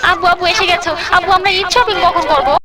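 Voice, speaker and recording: Cartoon daughter, played by a woman: high, fast chatter, insistent and excitable, music behind. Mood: eager. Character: excitable teenage daughter obsessed with Pakistani dresses. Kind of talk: exaggerated comedy cartoon dubbing.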